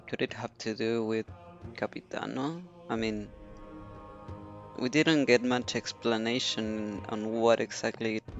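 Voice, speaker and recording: A man's voice: lowish voice, muffled recording. A man giving casual, musing commentary as a game streamer, hesitant as he thinks aloud. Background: soft game music.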